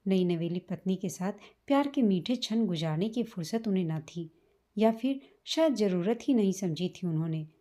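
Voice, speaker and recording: A woman reading a scripted, sentimental family story as a storyteller, in a measured manner. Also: medium pitch, lively intonation, mellow. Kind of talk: scripted emotional story narration in Hindi.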